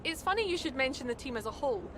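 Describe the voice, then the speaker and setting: A brisk, probing sports reporter puts post-race questions in a high, quick voice for a racing game. Clear outdoor noise is behind her. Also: expressive.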